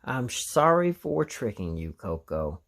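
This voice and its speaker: Man acting a young male train, boastful, playful, animated, storybook character. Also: mid-range voice, unhurried, vocal fry, full character voice. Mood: sincere.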